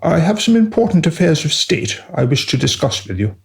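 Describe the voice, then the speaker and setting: An actor playing a deferential, long-suffering royal adviser in a comic radio play, formal and hesitant with dry disapproval. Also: male voice, on the high side, big pitch swings, a little fast, creaky. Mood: tactful, exasperated.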